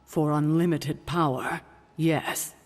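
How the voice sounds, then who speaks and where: A mature, stern female mentor in a video game, with a husky edge to her voice. She is curt and guarded, and her words carry a long hall reverb. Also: sits low, steady tone, slow pace. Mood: stern, indignant. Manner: curt, assertive, defensive. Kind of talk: video game character acting.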